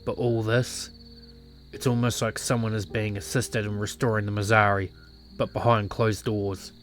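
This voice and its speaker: Man acting a low-voiced brooding ex-clan leader. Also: level tone, normal speed, soft background music, close to an everyday voice. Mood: regretful, brooding. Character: brooding, regretful male former clan leader with a violent past. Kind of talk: visual novel voice acting.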